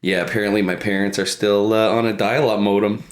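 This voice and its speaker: A man's voice: fairly low pitch, flat delivery, a little fast. A man co-hosting a comedy podcast in casual, wry, self-mocking chat. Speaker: podcast co-host. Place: an apartment, remote connection.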